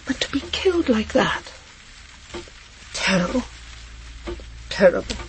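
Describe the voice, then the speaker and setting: An actress playing a shocked, hesitant, proper middle-aged housewife who has been widowed, in a naturalistic radio drama. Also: female voice, fairly high pitch, sing-song, noisy background. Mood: dazed, rambling. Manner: halting, flustered, repeats herself. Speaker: actor in a crime drama.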